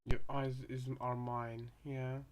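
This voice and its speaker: Even-toned man, halting casual commentary. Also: medium pitch, a little hiss or hum. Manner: halting, repetitive, thinking out loud. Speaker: co-commentator in a reaction video.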